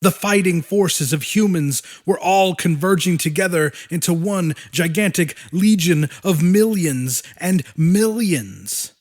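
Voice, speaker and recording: Slow, animated male audiobook narrator. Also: sits high. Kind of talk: dramatic scripted fiction narration.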